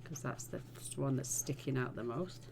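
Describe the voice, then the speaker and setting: A woman talks through a card-making tutorial in a chatty, homely way, her low voice gravelly and a little croaky. She is recorded at home with clear background noise. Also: moderate pace. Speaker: card-making channel host. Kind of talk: casual crafting tutorial.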